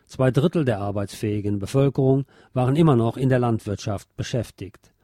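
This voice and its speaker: A man reading scripted history narration as a podcast host, in a measured, factual documentary manner. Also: medium pitch, mellow, no room sound, clean studio sound.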